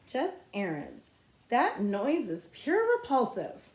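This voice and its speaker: A woman's voice: on the high side, wildly swooping pitch, a little mellow, echoey room, voice pushed up, heavily acted. A woman doing a snotty, scornful schoolgirl. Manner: disdainful, drawn-out emphasis. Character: snotty, scornful fifth-grade girl.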